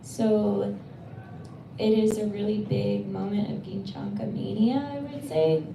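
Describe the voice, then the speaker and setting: A woman's voice: medium pitch, unhurried, creaky. Earnest woman translator in an echoey room. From United States. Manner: thoughtful, earnest, with frequent restarts. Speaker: poetry translator. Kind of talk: answering questions at a live reading. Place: bookstore event space. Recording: roomy, distant, noisy room sound.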